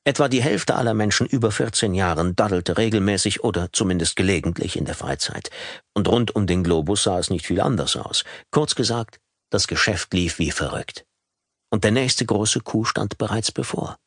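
A man reading an audiobook in a calm, measured storytelling manner, close to a studio microphone. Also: on the low side, breathy edge, no echo. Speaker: audiobook narrator. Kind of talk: scripted audiobook narration.

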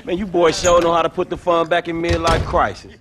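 A man's voice: on the high side, monotone, a little fast, lightly acted. A man playing a wry, skeptical young American street hustler in a video game, talking in slangy, profane, incredulous lines. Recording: game audio with clear background noise.

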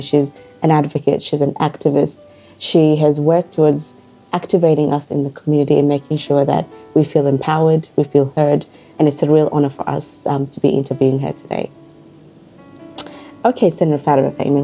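A woman's voice: deep voice, fairly even intonation, a little fast, breathy edge, faint music behind. A woman hosting a TV studio interview, greeting her guest formally and affirming often with "beautiful" and "yeah". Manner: warm formal welcomes, frequent affirming interjections. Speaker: talk show host. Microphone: table microphone.

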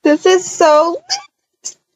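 Very high-pitched, excitable girl traveller played by a woman. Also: faint music behind, full character voice, low-quality recording. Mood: excited. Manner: gushing, exclamatory, with drawn-out words. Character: excitable, eager girl traveller on her first trip. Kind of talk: improvised video game roleplay.

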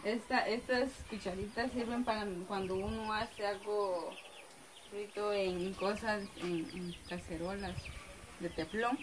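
A young woman chatting lively while cooking in an outdoor rustic kitchen, recorded on a phone. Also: medium pitch, a little slow, noisy background. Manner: lively, explaining. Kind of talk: casual cooking chat. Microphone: handheld phone camera.

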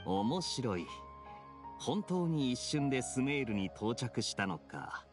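Young knight for an anime game: teasing, nonchalant, smooth-talking, mid-pitched and animated. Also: male voice, slow. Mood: intrigued. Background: game music.

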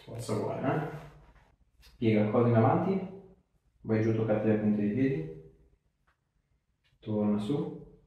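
A man's voice: lowish voice, unhurried, pauses often, warm tone. A young man, a chiropractor talking casually as he examines and instructs a patient. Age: young adult. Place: small tiled treatment room indoors. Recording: very echoey room, distant mic.

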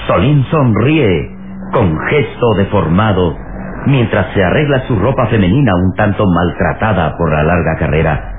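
A man narrating a radio serial in a solemn, theatrical style with drawn-out phrasing. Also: low voice, expressive, phone-quality sound, a little hiss or hum. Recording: thin vintage radio sound, loud music.

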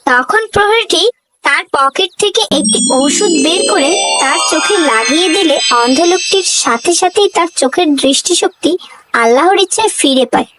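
A woman's voice: extremely high pitch, lively intonation, moderate pace, fairly bright tone, faint music behind, poor audio. A woman narrating a children's cartoon fable in a scripted storybook style.

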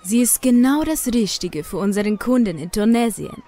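A woman's voice: fairly high pitch, animated, moderate pace, warm tone. A woman playing a cruel, scheming child trafficker in a German audio drama, speaking with smug menace. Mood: menacing, smug.

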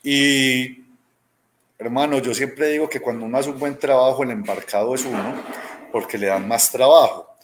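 A man's voice: mid-range voice, moderate pace, a little hiss or hum. A man in his thirties with a Colombian accent from Medellín, telling stories casually in an interview and acting out quoted dialogue as he goes. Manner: conversational, acts out quoted dialogue, often tags with "¿cierto?". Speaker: robotics teacher being interviewed. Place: small room at home, indoors, video call.

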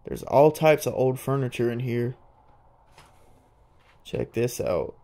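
A young man, narrating a casual, excited walkthrough of an abandoned house. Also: medium pitch, slow. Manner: excited, marveling, chatty. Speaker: urban explorer vlogger. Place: inside an abandoned old house.

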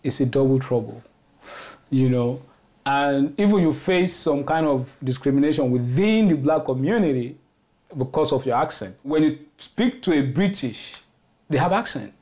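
A man in his forties with a Nigerian accent talks in an interview at home. He speaks earnestly and presses his points with emphasis. His voice sits at a middle pitch. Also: lively intonation, moderate pace, a little mellow. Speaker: interviewee, activist. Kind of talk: interview answers. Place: indoors at home, kitchen.

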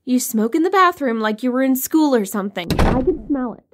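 An actress playing an exasperated, complaining wife in an audio horror drama. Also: female voice, high-pitched, expressive, fairly quick, slight background noise. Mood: exasperated, scolding. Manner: complaining, scolding. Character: nagging, exasperated sleepy wife.

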